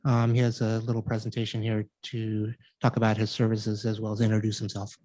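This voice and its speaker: A man's voice: on the low side, monotone, brisk, warm tone, no room sound, rough recording. A man on staff formally introducing a guest speaker at a board meeting.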